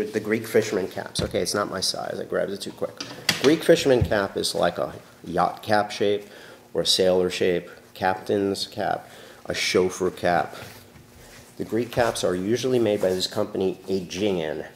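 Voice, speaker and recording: A stuffy-nosed man talks about caps in a chatty, animated way. His voice is very low and gravelly. He is recorded on a camera sitting on the counter of a large shop, so the sound is roomy and has a faint hum behind it. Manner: chatty, animated, trying on hats while talking. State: stuffy from allergies. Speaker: hat shop owner. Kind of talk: casual product talk to camera. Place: large hat shop with wooden shelves, indoors. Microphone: camera on the counter, moves in and out of frame.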